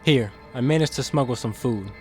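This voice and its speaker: A man's voice: mid-range voice, a little fast, close to an everyday voice. Man playing a guarded, guilt-ridden demon insider, music behind. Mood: discreet, earnest. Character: guarded, conscience-stricken demon insider smuggling secrets to captive. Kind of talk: audio drama acting.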